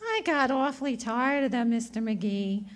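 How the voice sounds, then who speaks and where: A woman's voice: on the high side, normal speed, close to an everyday voice. A woman acting a sweet, dim, cheerful young woman in a 1940s radio comedy, guileless and airheaded.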